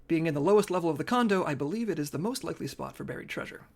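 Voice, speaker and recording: A woman's voice: low-pitched, lively intonation, rapid-fire, a little mellow. Undercover female agent, intense and self-blaming, with very mobile intonation for audio comedy. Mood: businesslike. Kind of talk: audio comedy drama acting.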